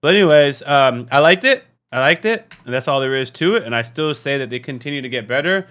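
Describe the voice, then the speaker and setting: A man's voice: medium pitch, expressive, a little fast, fairly bright tone. A man hosting a reaction video, talking casually and candidly in a rambling, tired way. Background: music.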